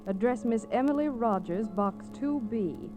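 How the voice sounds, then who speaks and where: Casting: a formal classified ad from a genteel widow, clipped delivery, 1940s radio drama, music underneath. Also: female voice, a little high, animated, measured pace. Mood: formal, measured. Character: genteel widow's classified advertisement read aloud. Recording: restored 1940s radio recording, music behind.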